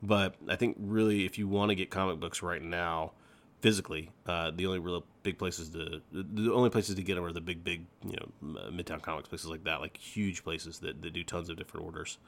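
A man hosting a fan podcast in casual chat, talking excitably and repeating words for emphasis. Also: low voice, a little fast. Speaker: podcast host.

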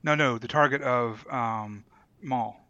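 A man with a mid-pitched, bright, sharp voice co-hosts a casual fan podcast. He talks tentatively, thinking aloud. The recording is very dry and a little dull. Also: takes it slowly, dry recording. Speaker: podcast host.

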